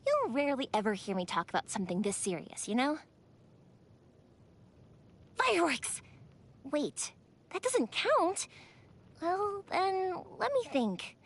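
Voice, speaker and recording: An actress voicing a cheerful, excitable young woman who makes fireworks in a video game, playful and expressive. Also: high voice. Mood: bubbly, musing. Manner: bubbly, playful, expressive storytelling. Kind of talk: video game character voice acting.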